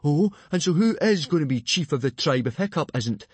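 A man voicing a sarcastic young Viking underdog in a children's audiobook, with a wry, deadpan manner. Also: fairly high pitch, fairly quick, husky, no echo, voice pushed up. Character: dry, sarcastic Viking boy, loyal underdog friend. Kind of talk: children's audiobook character acting.

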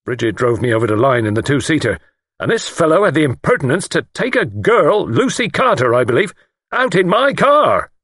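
A man's voice: mid-range voice, fairly even intonation, fast talker, hardly any pauses, no room sound, clean studio sound, pitched above the performer's own voice, close to an everyday voice. A man voicing a pompous, easily outraged older English lord in an audiobook, spluttering with indignation and self-importance.

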